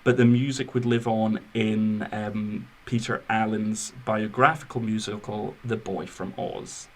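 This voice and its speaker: A man's voice: on the low side, fairly even intonation, measured pace, slight background noise. A man hosting a film podcast, moving between scripted biography and chatty, wry asides. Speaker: podcast host.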